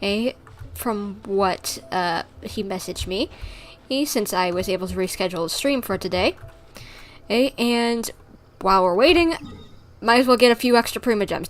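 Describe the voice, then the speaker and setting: Female game streamer, slightly high voice, casual and rambling, given to animated complaining. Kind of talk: casual livestream chat while gaming.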